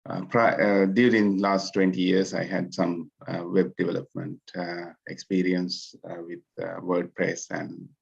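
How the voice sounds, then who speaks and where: A man's voice: low-pitched, flat delivery, unhurried, few pauses. A man from Sri Lanka introducing himself as a student on a video call, speaking hesitantly with many filler sounds. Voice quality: gravelly, husky. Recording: dull lo-fi call audio.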